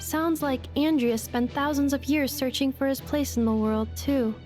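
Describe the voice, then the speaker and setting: Woman doing a high, precise machine girl, formal, soft music behind. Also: normal speed, close to an everyday voice. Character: analytical female construct consulting its database.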